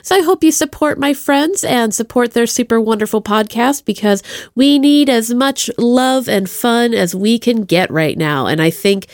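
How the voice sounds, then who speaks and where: A woman's voice: a little high, lively intonation, moderate pace, dry recording, clean studio sound. A woman hosting a podcast in a chatty, effusive and playful way, addressing listeners directly. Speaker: podcast host. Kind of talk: chatty podcast introduction and plugs.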